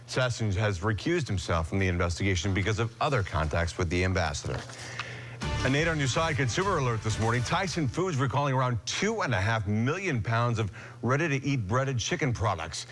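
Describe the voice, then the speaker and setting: A man's voice: medium pitch, a bit bright. An American man working as a morning news anchor, moving between scripted news reading and casual banter.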